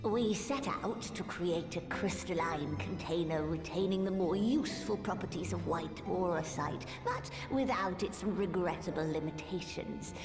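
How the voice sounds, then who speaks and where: Confident lady scholar, fantasy game, articulate and formal, mid pitch, echoey, music underneath. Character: confident, precise female scholar in a fantasy world. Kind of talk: scripted video game voice acting.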